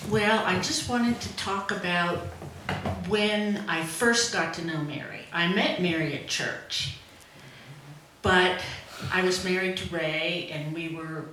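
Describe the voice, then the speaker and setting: Casting: older woman, informal memorial storyteller, unhurried delivery, strong room echo with faint noise. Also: on the low side, steady tone, sharp tone. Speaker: friend giving a tribute at a memorial celebration. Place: indoor event room.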